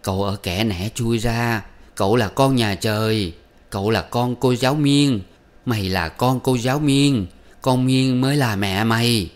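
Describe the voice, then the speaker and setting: A man's voice: medium pitch, unhurried, voice pushed up, natural voice. A man voicing a furious, domineering older mother-in-law who scolds and wails melodramatically. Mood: raging, wailing. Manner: scolding, wailing, self-pitying.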